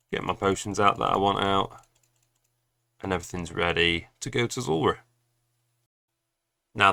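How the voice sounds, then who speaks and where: A man's voice: low-pitched, vocal fry, sharp tone, dry recording. A young man with a cold, chatty and explaining game plugins in a tutorial voice-over with dry asides. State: has a cold. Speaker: gaming guide creator.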